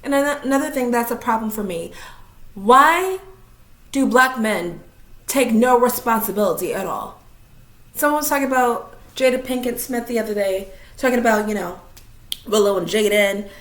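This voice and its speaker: A woman's voice: on the high side, normal speed, fairly bright tone. A woman from Minnesota giving a blunt, opinionated vlog rant, swearing casually. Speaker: vlogger. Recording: roomy echo.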